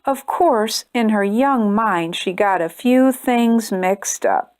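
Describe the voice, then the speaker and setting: A woman hosting a storytime channel, reading a children's picture book aloud in a gentle, expressive way. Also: medium pitch, slow. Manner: gentle, expressive reading, brighter and chattier when addressing viewers. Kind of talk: read-aloud storytelling for kids.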